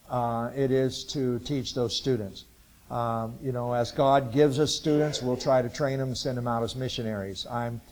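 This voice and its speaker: A man in his sixties from Ohio, a Bible college missions teacher, giving an informal testimony talk to a church group in a halting, rambling way with many filler sounds. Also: medium pitch, a little mellow, a little hiss or hum. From Ohio, USA. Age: 60s.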